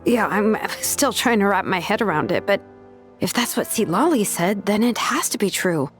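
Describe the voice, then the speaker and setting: A woman's voice: medium pitch, lively intonation, moderate pace, close to an everyday voice. A woman playing a casual, evasive young craftswoman in a fantasy video game, offhand and a little hesitant. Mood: thoughtful. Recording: music playing behind the voice.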